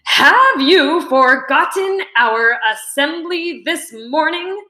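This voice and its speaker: Woman voicing a furious, shouting school principal, echoey room. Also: high-pitched, lively intonation, slow pace, pitched above the performer's own voice, full character voice. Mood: angry, demanding. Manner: forceful, commanding shouting. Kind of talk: children's storybook reading.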